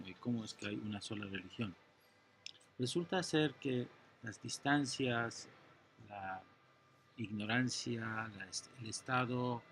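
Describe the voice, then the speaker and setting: A middle-aged man from Iran speaks at a mid pitch in an earnest, teacherly manner. He explains ideas patiently in an interview and restarts a phrase now and then. A faint hiss sits under his voice. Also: rough recording.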